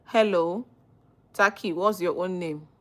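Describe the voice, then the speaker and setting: A woman's voice: fairly low pitch, a little slow. A woman with a Nigerian accent, a legal practitioner hosting a live stream, reading out viewer comments and questioning them in a teasing way. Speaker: livestream host, legal practitioner.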